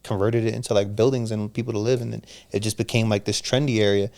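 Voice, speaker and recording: A man's voice: lowish voice, flat delivery, gravelly, darkish tone. A man in his thirties, from Pennsylvania, talking as a podcast guest in a casual, slangy storytelling way. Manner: fast, slangy storytelling with frequent restarts. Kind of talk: casual conversation.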